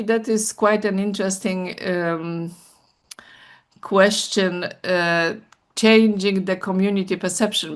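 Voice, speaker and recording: A woman interview guest giving reflective, explanatory answers, deliberate and halting as she searches for words. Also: lowish voice. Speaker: cultural director, interview guest.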